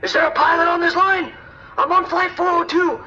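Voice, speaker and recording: Through a lo-fi radio link comes a man playing a panicked airline passenger. The voice is high, stammering and helpless, in an audio drama style. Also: steady tone, a little fast, pushed and bright, full character voice. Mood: shaken. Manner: hesitant, stammering, alarmed. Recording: tinny lo-fi cockpit radio call.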